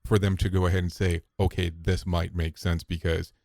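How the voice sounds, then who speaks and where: A man being interviewed on a podcast, telling stories in a relaxed way with restarts and quote-unquote asides. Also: low-pitched, fairly quick, vocal fry, no echo, studio quality. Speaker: podcast guest, safety broadcaster. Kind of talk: conversational interview answers.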